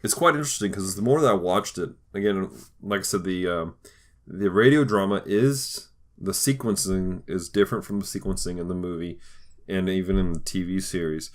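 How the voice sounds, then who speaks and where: A man hosting a podcast in casual chat, enthusiastic, often halting as he searches for his words. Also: low-pitched. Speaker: podcast host.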